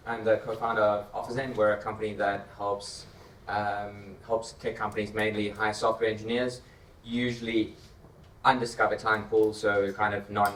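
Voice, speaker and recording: South African man, low voice, echoey hall. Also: slight background noise. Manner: relaxed, thinking aloud with repeated words. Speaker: panelist, startup co-founder. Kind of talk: conference panel talk. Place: conference stage. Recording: echoey hall, mic heard from far off.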